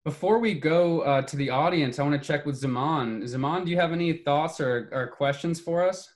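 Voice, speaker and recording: A man's voice: a little high, normal speed. Conversational man hosting a video call. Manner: conversational, with repeated "you know". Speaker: host. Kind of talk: moderating a discussion on a video call.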